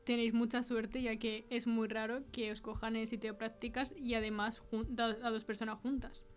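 A woman podcast host with an accent from Spain and a slightly high voice. She presents and puts questions to guests in an interview style, with faint music underneath. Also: little pitch movement, normal speed. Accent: Spain Spanish. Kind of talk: hosting a mystery interview podcast.

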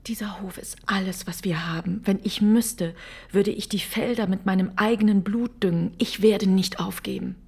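A determined farmer's wife in a radio drama. Her voice is husky and breathy at a medium pitch. She answers tersely and guardedly. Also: moderate pace, a little mellow. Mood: quietly resolute. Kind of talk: German radio drama acting.

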